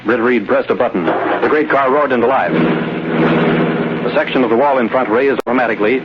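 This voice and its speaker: A man's voice: medium pitch, expressive, normal speed. A man narrating a radio adventure serial in a dramatic, scripted mid-century style. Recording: muffled, clearly noisy vintage radio broadcast.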